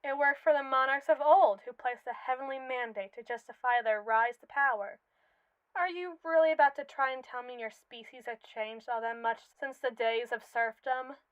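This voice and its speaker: A woman's voice: sits high, moderate pace, smooth, no echo, lightly acted. A very dry, close and slightly muffled recording of an evil female robot acted by a woman in an ASMR roleplay. She is imperious and possessive, with a clean, silky tone. Every word is articulated with sardonic condescension, as befits a would-be ruler of humanity. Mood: imperious, grandiose. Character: haughty, possessive female evil robot with world-domination ambitions. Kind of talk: scripted ASMR roleplay monologue.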